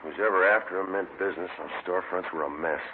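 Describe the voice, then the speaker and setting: Tinny old radio sound carries a stern American male detective from a 1950s crime drama. He speaks low and quick, terse and deadpan, with no wasted words. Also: a little hiss or hum. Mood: matter-of-fact. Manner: deadpan, terse, matter-of-fact. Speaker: police detective, lead and narrator. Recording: tinny vintage radio recording with music.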